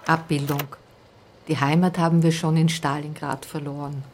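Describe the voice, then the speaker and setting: A woman's voice: sits low, level tone, moderate pace. An actress playing a matter-of-fact reader of captions in a radio play, with clipped phrases and faint noise behind her.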